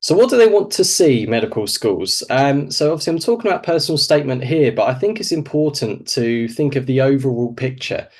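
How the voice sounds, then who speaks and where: A man presents in the role of an adviser to students, sounding snuffly with a cold. His pitch is middling and his delivery is informal and explanatory, often built around questions he then answers. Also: animated, brisk, hardly any pauses, darkish tone. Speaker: presenter, doctor advising medical school applicants.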